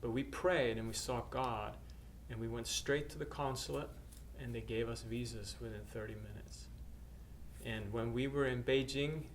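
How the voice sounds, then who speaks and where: A man's voice: mid-range voice, steady tone, normal speed. Hesitant Norwegian-born missionary man. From Norway. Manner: hesitant, many uhs and restarts. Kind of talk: personal testimony to camera. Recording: faint background noise.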